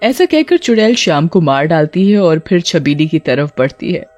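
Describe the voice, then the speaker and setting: A woman's voice: low voice, big pitch swings, normal speed, darkish tone, faint music behind. A woman narrating a scripted cartoon horror story.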